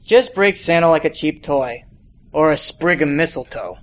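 A man's voice: a little high, animated, normal speed, lightly acted. A man reading aloud as a sarcastic, mocking male police officer in a story. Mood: flippant.

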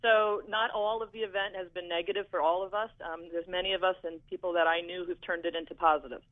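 A man calling in to a radio show, telling his account calmly and steadily with soft fillers. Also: sits high, fast talker. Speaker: caller. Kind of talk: call-in radio talk. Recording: tinny phone line.

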